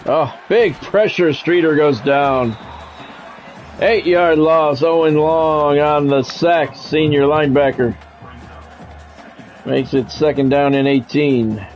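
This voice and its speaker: A man's voice: on the high side, slow pace, soft background music. Man calling a game play-by-play. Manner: switches between broadcast calls and chatting with viewers; announcer cadence, builds up on big plays. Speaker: gaming streamer doing play-by-play. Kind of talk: radio-style play-by-play commentary over a video game.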